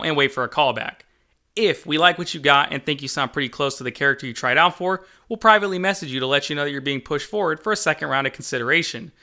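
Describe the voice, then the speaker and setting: Bright, punchy male game developer. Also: on the high side. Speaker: game developer and channel host. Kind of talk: upbeat scripted video narration.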